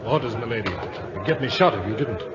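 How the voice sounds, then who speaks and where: An actor playing a respectful, plain-spoken junior naval officer in an old-time radio drama. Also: male voice, mid-range voice, animated, fairly quick, in a large hall, lightly acted. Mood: dutiful. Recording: muffled old radio, busy crowd sounds.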